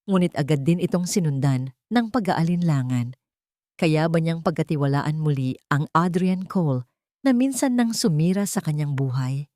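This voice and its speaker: Low-voiced woman narrating a dramatic story. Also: lively intonation, moderate pace, warm tone, dry recording, clean studio sound.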